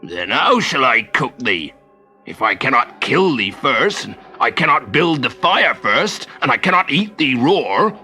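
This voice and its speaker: A man voicing a huge, hungry, dim-witted troll with booming, archaic speech in a storybook tale. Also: very animated, measured pace, bright, forceful tone, soft background music, full character voice. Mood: puzzled, plodding.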